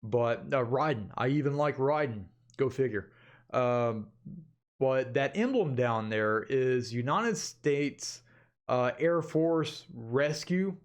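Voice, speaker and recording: A man's voice: medium pitch, lively intonation, slow. Male vlog host, mid-range voice, informal rambling delivery, talks straight to viewers like buddies. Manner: informal, chatty, addresses viewers as guys. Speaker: YouTube channel host.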